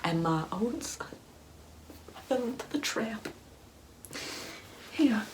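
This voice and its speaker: Woman performing a judgmental religious mom, entitled, sassy, incredulous, ASMR roleplay, whispered asides, drawn-out words, audible background noise. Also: a little high, lively intonation. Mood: scandalized, preachy. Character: judgmental, devout, entitled "Karen" mother, indignant and preachy. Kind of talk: ASMR comedy roleplay monologue.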